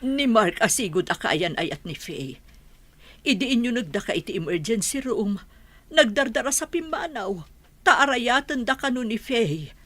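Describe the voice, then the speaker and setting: A woman's voice: a little high, sing-song, normal speed, a bit bright, no room sound. A woman playing an anxious married madam and worried mother in an Ilocano radio drama.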